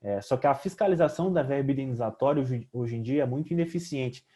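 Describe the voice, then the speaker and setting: A man's voice: mid-range voice, lively intonation, fast talker, warm tone. A young man with a Brazilian accent giving a semi-formal, explanatory project presentation as a student, repeating words hesitantly as he goes.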